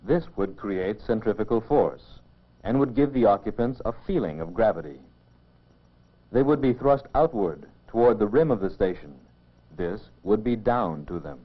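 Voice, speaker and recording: Low-voiced man narrating, muffled old film sound. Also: animated. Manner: measured, explanatory. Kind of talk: scripted mid-century educational film narration.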